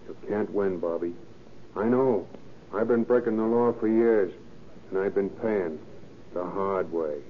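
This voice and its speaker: A man's voice: lowish voice, natural voice. An actor playing a rueful burglar father in a 1930s radio drama, earnest and slangy, with an American accent. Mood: urgent warning. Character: rueful jailbound burglar father warning his young son. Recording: muffled, faintly noisy 1930s radio recording.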